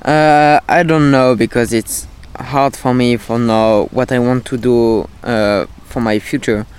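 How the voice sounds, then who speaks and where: A young man from France with a French accent, answering an interviewer in halting, simple English. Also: mid-range voice, steady tone, clean tone. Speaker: interview guest, volunteer.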